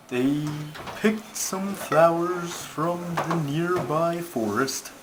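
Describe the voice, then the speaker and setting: A man voicing a pompous, schoolmasterly turtle puppet who tells stories in a ponderous, formal, easily put-out way. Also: on the high side. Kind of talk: comic scripted storytelling. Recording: noisy amateur home recording.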